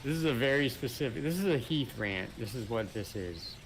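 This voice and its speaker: Male gamer, easygoing commentary with careful qualifiers, mid pitch, noisy mic. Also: animated, brisk. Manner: thoughtful, explains points with careful qualifiers. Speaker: co-op gaming partner. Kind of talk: casual gameplay chat. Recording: clear background noise.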